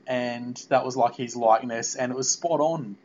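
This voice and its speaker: A man hosting a casual podcast interview, talking in a halting, meandering way. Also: medium pitch, a little mellow. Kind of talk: casual fan interview chat. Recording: dull, lo-fi podcast audio.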